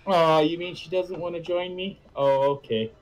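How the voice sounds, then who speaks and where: A man's voice: fairly high pitch, steady tone, normal speed, poor audio. A man chatting casually as a co-commentator on a game stream, dropping short joking asides. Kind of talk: casual chat. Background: background music.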